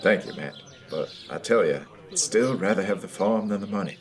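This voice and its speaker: An actor playing a plain-spoken, resolute Old West farmer in a radio western. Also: male voice, lowish voice, brisk, close to an everyday voice. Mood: grateful, wistful.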